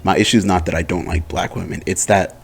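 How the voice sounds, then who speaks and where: A man's voice: low-pitched, fairly even intonation, quick pace, gravelly, husky. A man in his twenties, co-hosting a podcast, telling joking, slangy stories in casual chat. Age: 20s. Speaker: podcast co-host.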